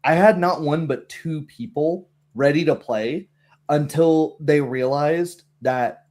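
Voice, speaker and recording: Animated man chatting about games. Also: fairly high pitch, takes it slowly. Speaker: streamer, tabletop game host. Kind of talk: casual opinionated chat.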